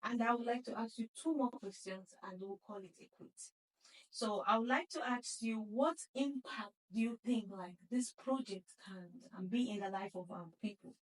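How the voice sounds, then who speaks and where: A woman's voice: on the high side, normal speed, distant mic, rough recording. A woman hosting a podcast interview, chatty and talking straight to her audience. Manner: chatty, addresses audience as guys. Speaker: podcast host. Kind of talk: casual interview.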